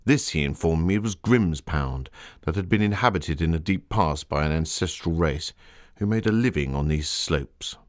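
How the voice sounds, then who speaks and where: An actor playing a Victorian gentleman author, narrating his travels in a formal, literary, theatrical manner. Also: low-pitched, expressive, normal speed. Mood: fascinated, grave. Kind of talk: scripted dramatic narration.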